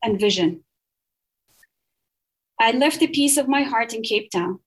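A woman speaks in a somewhat high voice. She is earnest and measured, reading poetry and then explaining her research, stumbling and restarting now and then. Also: lively intonation, poor audio. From Saudi Arabia. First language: Arabic.

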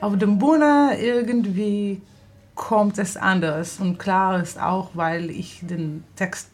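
A woman from Israel with an Israeli accent, an actress and theater director, speaking German slowly, searching for words and laughing often in a radio studio interview. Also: mid-range voice, fairly even intonation.

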